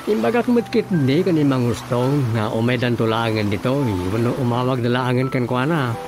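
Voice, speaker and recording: A man's voice: mid-range voice, lively intonation, moderate pace, lightly acted. Guy acting as regular village man, medium voice, radio drama with music under it. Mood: concerned. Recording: music behind the voice.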